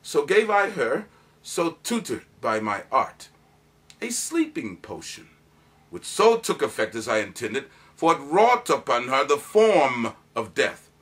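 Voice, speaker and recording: A man's voice: mid-range voice, sing-song, a little slow, a bit bright, slightly rough, heavily acted. A man performing a grave cleric who confesses a tragedy in a solemn, declamatory Shakespearean monologue. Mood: urgent, confessing.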